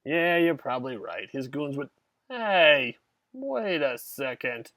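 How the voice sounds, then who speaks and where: Man playing a slangy, whiny small-time crook, lively. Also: medium pitch, slow pace, dry recording, studio quality, exaggerated voice. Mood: scheming. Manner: slangy, flippant, whiny wise guy. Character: cowardly small-time crook, a dog thief for hire. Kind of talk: comic audio drama acting.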